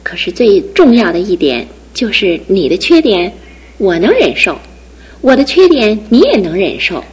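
A sensible, independent young woman student in a radio play, her tone slightly husky and breathy. She teases her sweetheart briskly and talks gently of a steady life. Her pitch sits in a middle range for a woman. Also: full character voice, low-quality recording. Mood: tender earnest. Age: young adult. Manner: teasing and brisk, then tender. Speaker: radio drama actress. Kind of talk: 1960s-70s studio radio drama acting.

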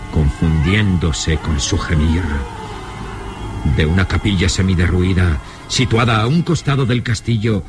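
A deep-voiced man narrates an old radio serial over prominent music. His delivery is slow, solemn and theatrical, built for suspense. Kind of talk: vintage radio drama scripted narration.

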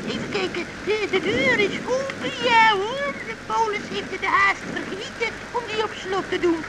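A woman's voice: normal speed, recorded from a distance, very noisy. A woman voicing a scheming, gloating female witch in an old children's radio play. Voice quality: shrill, gloating.

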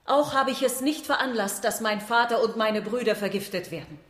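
An actress as a proud, unrepentant accused marquise. She speaks formally and firmly in a fairly high voice that echoes through a hall, radio play style. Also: fairly quick, lightly acted. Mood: defiant. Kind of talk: radio drama acting. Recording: echoing hall, slightly distant.

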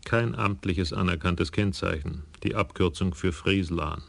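A man narrating a crime radio play in an even, measured way. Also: sits low, vocal fry, no echo.

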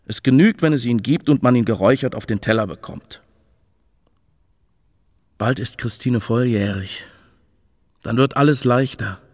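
An actor playing a disgruntled, brooding royal guardian in a radio play, sardonic in manner. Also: male voice, medium pitch, darkish tone, natural voice. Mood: sardonic, grumbling. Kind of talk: radio play acting, monologue and dialogue.

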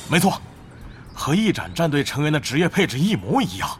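A shrewd, scornful male guild leader in an audio drama. His voice sits fairly high and moves a lot, with faint noise behind. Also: sing-song, fairly quick. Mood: grim. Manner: sceptical, scornful. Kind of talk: Chinese audio drama acting.

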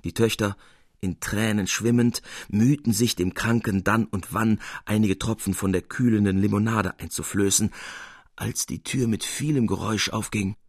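A man reading literary prose as a narrator, in a measured and expressive storytelling manner. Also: lowish voice, husky, no echo, clean studio sound.

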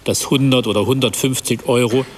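A man's voice: mid-range voice, fairly even intonation, a little fast, close to an everyday voice. Actor as rueful man in long reverb. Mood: rueful, brooding. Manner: reflective, self-reproachful. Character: troubled husband and father confessing his own weakness. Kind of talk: radio play narration. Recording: long reverb, faint background noise.

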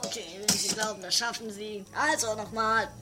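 A woman's voice: mid-range voice, steady tone, normal speed, noisy background, lightly acted. A woman playing a bossy, exasperated male film director, hammy and stammering, in a comedic radio play. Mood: weary, coaxing. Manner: hammy, barking orders, muttering and stammering.

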